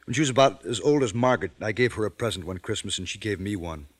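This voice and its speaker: A middle-aged man playing a genial, gently teasing father in an old radio drama, speaking in a paternal, unhurried way. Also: lowish voice, expressive, breathy edge. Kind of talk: 1940s radio drama acting.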